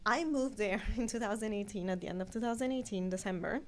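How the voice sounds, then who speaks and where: A woman's voice: mid-range voice, quick pace. A woman in her thirties from Italy with an Italian accent, chatting as an interview guest and prone to long tangents. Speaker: guest scientific editor. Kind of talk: conversational interview storytelling.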